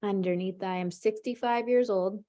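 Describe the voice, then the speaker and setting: Calm woman coaching tapping. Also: medium pitch, normal speed. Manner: calm, encouraging, leads with short prompts. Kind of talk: guiding a tapping session.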